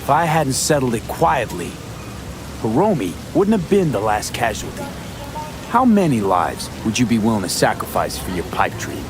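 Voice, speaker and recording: A man's voice: mid-range voice, wildly swooping pitch, normal speed. A man playing a laid-back, wry game mercenary who talks in a dry, teasing, understated way. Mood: firm. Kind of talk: video game dialogue. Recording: music behind the voice.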